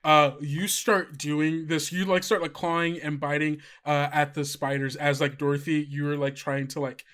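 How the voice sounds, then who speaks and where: A man's voice: fairly high pitch, level tone, normal speed, few pauses, a bit bright, clean tone. A man running a tabletop game as game master, narrating loosely with many fillers and restarts.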